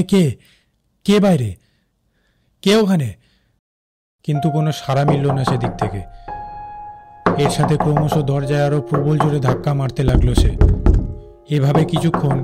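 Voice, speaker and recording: A man playing an uneasy night-shift railway worker, narrating his own horror story with suspense in an animated cartoon. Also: mid-range voice, close to an everyday voice. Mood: fearful doubt. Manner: suspenseful, with fearful repeated phrases. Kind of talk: first-person dramatic horror story narration.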